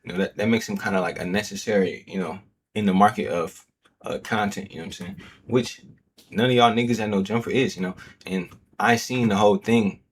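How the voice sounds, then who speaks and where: A man with a fairly low voice, talking loose and slangy as he reacts to a clip. He leans on fillers, and faint background noise sits under him. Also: fairly even intonation, fairly quick. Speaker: host. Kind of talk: casual reaction commentary.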